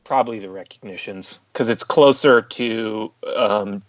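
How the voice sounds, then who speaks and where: A man of medium pitch answers tentatively, with stops and restarts. The recording is dry and somewhat muffled. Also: measured pace, no room sound. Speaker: book club member. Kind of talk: casual discussion.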